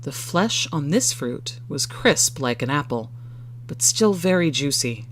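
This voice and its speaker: A storyteller reading a first-person short story in a measured, expressive way. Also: medium pitch, a little hiss or hum.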